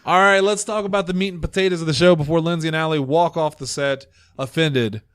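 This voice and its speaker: एक आदमी जो पॉडकास्ट का सह-होस्ट है, मज़ाकिया और व्यंग्य भरे अंदाज़ में बातें करता है और अक्सर हँसता है। रिकॉर्डिंग सूखी और पास के माइक से है, गूँज नहीं।. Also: male voice, fairly high pitch, steady tone, fast, smooth, no room sound, clean studio sound. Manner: joking, sarcastic, laughs often. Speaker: podcast co-host. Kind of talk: casual podcast chat.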